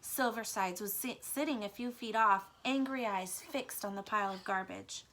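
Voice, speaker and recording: A woman's voice: medium pitch, normal speed, a bit bright. A woman teacher reading a children's book aloud and explaining it to young pupils.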